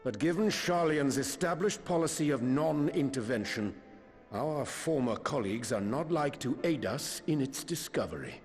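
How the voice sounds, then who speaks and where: A man playing a learned, pragmatic scholar in a fantasy game, speaking in measured, archaic language. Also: mid-range voice, expressive, soft background music, close to an everyday voice. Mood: sober, doubtful. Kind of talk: formal video game cutscene acting.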